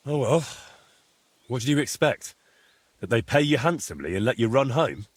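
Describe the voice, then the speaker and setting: An actor playing a sensible, helpful adventurer in a video game, speaking in a frank, questioning and wry manner. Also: male voice, mid-range voice, lively intonation, normal speed, husky, no room sound. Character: sensible, helpful young male adventurer with dry wit. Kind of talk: video game dialogue acting.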